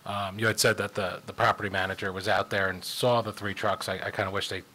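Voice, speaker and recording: Measured male administrator, lowish voice. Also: little pitch movement, sharp tone. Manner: measured, explanatory. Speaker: township administrator. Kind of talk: answering residents at a meeting.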